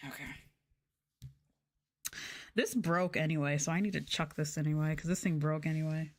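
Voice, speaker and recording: A soft-spoken woman chatting casually and playfully with viewers as the host of an ASMR livestream. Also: sits low, steady tone, normal speed. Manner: soft-spoken, playful, drifting into close-mic whispers. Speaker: VTuber streamer and host.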